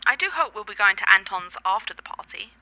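A woman's voice: medium pitch, expressive, fast talker, bright, forceful tone, low-quality recording. A woman playing an affectionate, cultured girlfriend in a radio play, articulate and slightly theatrical. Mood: bright, affectionate.

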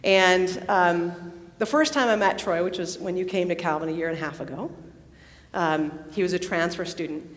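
Woman speaker in a reverberant hall. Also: on the low side. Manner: warm storytelling with pauses and fillers. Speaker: campus pastor. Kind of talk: worship service address. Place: large worship hall. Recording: long reverb in a large hall.

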